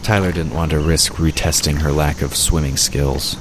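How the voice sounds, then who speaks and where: Man, extremely low pitch, scripted fantasy narration, clear background ambience. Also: steady tone, normal speed, background noise.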